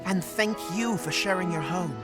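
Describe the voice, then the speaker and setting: A man's voice: high-pitched, moderate pace, loud music behind the voice, natural voice. A man playing an eager, polite young boy in a period audio drama, with earnest, old-fashioned formal speech. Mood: cheerful, earnest.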